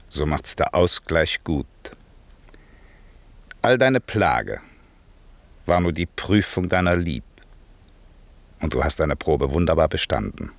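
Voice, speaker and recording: A man's voice: sits low, a bit bright, no echo, heavily acted. An actor playing a stern, commanding old magician and father in a radio play, speaking solemn, declamatory verse. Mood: solemn, proud.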